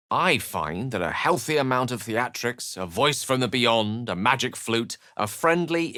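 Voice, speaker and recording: An actor playing an eloquent, theatrical and wry human wizard in a fantasy video game, with articulate, literary phrasing. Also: male voice, on the low side, fairly bright tone, no room sound, studio quality. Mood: thoughtful, eloquent.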